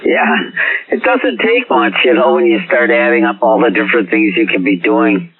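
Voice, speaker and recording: A man's voice: fairly low pitch, wildly swooping pitch, normal speed, vocal fry. A man chatting casually over CB radio, rambling and searching for his words. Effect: CB radio transmission. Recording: tinny CB radio with faint hiss.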